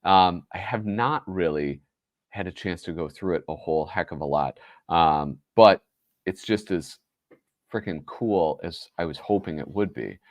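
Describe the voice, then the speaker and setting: A man's voice: low voice, measured pace, dry recording, studio quality. A man with a nasal voice hosting a casual livestream chat, rambling and correcting himself with lots of fillers. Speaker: host.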